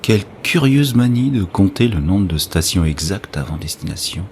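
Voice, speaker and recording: A man's voice: low voice, very animated, moderate pace, darkish tone, a little hiss or hum. A man playing an introspective, observant metro passenger who narrates in a literary, musing way in a science-fiction audio drama.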